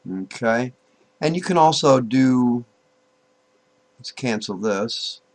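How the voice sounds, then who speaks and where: A man giving an informal, unscripted software tutorial, thinking aloud, halting and repeating himself as he goes. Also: mid-range voice, expressive. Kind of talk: informal screen-recorded tutorial, unscripted walk-through.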